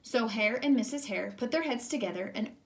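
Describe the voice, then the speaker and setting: A woman's voice: on the high side, animated, a little fast, slight background noise. A woman teacher reading a children's storybook aloud expressively, speaking directly to young listeners.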